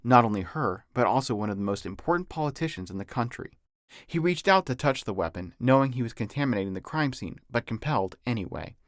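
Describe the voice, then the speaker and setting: A man's voice: mid-range voice, lively intonation, fast talker, no echo, clean studio sound. A man, an author, reading his own novel as scripted audiobook narration.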